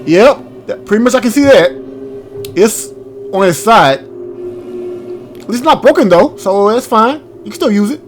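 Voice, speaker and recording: A man's voice: sits high, lively intonation, a little slow, pauses often, faint music behind. A man hosting a gaming channel, chatting and rambling casually as he reacts aloud to a game. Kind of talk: casual let's-play commentary.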